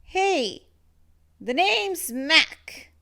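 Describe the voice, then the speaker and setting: A woman's voice: very high voice, sing-song, gravelly, bright, forceful tone, pitched above the performer's own voice, heavily acted. A woman voicing a big, scruffy boy monster who slurps, whines and draws out words while trying to sound menacing. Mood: blustering. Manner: slurping, drawn-out, whining.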